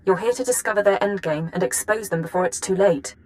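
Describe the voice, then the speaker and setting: A loyal intelligence analyst played by a woman briefs in a medium-pitched voice. She is composed, precise and businesslike, with quiet urgency, in scripted game acting. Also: fast, close to an everyday voice, poor audio. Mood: composed, urgent.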